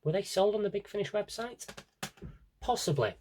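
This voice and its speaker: A man's voice: high-pitched, sing-song, normal speed, a little mellow, slight background noise. A man giving a casual, rambling show-and-tell to viewers, chatty and enthusiastic, drifting into asides to himself. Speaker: video host showing a personal CD collection.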